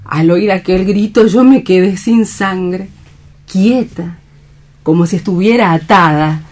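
A slightly low voice of a devoted young woman in radio theatre. She speaks earnestly and tenderly, with lyrical feeling in her phrasing. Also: slow, a little mellow. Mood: intense. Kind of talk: radio theatre dramatic reading.

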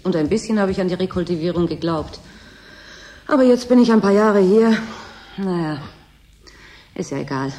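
A woman's voice: on the low side, expressive, moderate pace, long pauses, warm tone, faint music behind, natural voice. An actress playing a bored, needy young woman at a desolate station in a German radio drama, restless and resigned. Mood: bitter, pleading.

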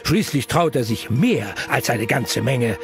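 A man's voice: mid-range voice, lively intonation, measured pace, music in the background. A man narrating a children's audio play as a playful storyteller who relishes long, silly words.

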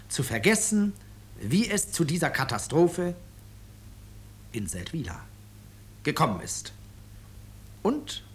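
An actor playing an urbane, ironic author who narrates his own story in a 1950s German radio drama, courteous and deliberate. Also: on the high side, breathy edge, full character voice. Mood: suave, ironic.

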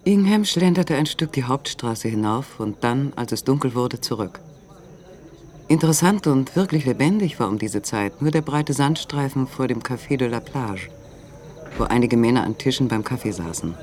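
An actor narrating a literary radio play in a measured, matter-of-fact manner. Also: male voice, medium pitch, animated, darkish tone, lightly acted. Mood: measured, detached.

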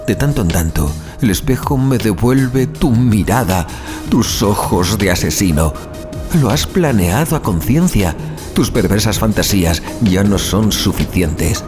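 A man playing a doomed, morbidly obsessed passenger in a horror audio drama, delivering a slow, brooding literary monologue. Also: fairly low pitch, animated, music in the background. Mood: morbid, resigned. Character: doomed male passenger, obsessed with his murderous chauffeur.

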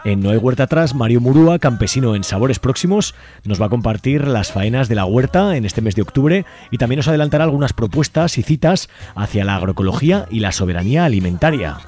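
A man's voice: medium pitch, animated, quick pace, a little mellow. A man hosting a radio show in an informal, chatty, colloquial way. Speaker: radio host. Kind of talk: casual radio presenting. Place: radio studio.

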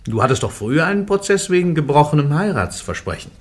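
A man's voice: fairly high pitch, big pitch swings, fast talker. An actor playing a stern male church interrogator who questions a prisoner insistently in a radio drama. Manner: insistent, rising to sharp accusations.

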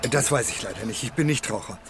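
An actor playing an eager, courteous waiter in a radio drama, with formal, obliging politeness. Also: male voice, lowish voice, fast talker, background noise, close to an everyday voice.

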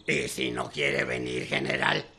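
An actor playing a deferential male rebel underling in a radio drama, with a gravelly, creaky voice. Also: very deep voice, big pitch swings, measured pace, sharp tone, slight background noise, full character voice. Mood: deferential, amused.

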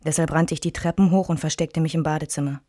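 A woman's voice: low voice, steady tone, fast, mellow, dry recording, studio quality, close to an everyday voice. An actress playing a weary wife and mother who recalls her troubled marriage in restrained, literary radio play narration. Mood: tense, bitter.

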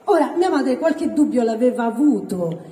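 A woman's voice: on the high side, expressive, moderate pace, mellow. A woman from Calabria, performing a theatrical, exclamatory stand-up monologue in a live venue. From Calabria, Italy. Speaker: stand-up comedian, actress. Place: live venue with ambience behind. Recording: echoey hall, faint noise.

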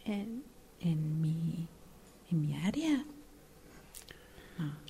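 Una actriz de radioteatro que interpreta a una exgerente de mediana edad, humillada y desesperada por conseguir trabajo, con habla vacilante y deferente. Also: female voice, deep voice, sing-song, creaky, mellow, slight background noise, heavily acted. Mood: stunned. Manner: hesitant, halting, deferential. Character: desperate middle-aged female job seeker, humbled former manager. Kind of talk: radio drama acting.

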